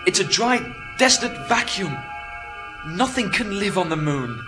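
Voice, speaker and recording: An actor with a British accent playing a lonely, stranded astronaut in a radio drama, anxious, halting and incredulous. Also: male voice, sits high, very animated. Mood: anxious, disbelieving. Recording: prominent music behind the voice.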